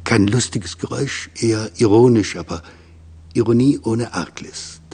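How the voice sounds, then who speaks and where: A man's voice: fairly low pitch, normal speed, darkish tone, breathy edge. A man reading descriptive literary prose in a scripted radio style.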